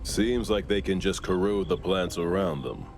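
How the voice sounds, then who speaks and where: A man voicing a cautious, gruff companion in a video game. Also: low voice, moderate pace, background noise. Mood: wary. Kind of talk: video game dialogue acting. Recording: game audio with music. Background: music.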